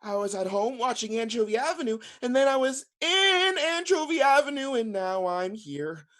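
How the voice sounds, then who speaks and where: A woman's voice: on the high side, big pitch swings, moderate pace, a bit bright. A woman playing an earnest, bewildered child turned puppet in a play reading. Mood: confused, timid.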